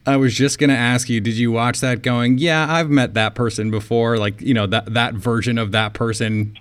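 A man's voice: medium pitch, steady tone, moderate pace, clean tone. An American man interviewing a guest casually, chatty and given to long, meandering questions. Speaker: interviewer, sports show host.

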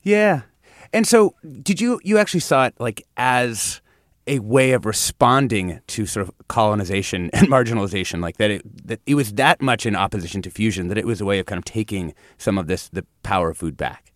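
Lowish man asking winding questions. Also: expressive, a little fast, a bit bright, dry recording, studio quality. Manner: long, winding questions with restarts. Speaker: podcast host. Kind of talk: casual interview.

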